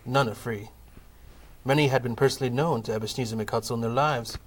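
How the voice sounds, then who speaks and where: A man narrating a scripted comic audio play in a measured, formal storytelling manner. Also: mid-range voice, fairly even intonation.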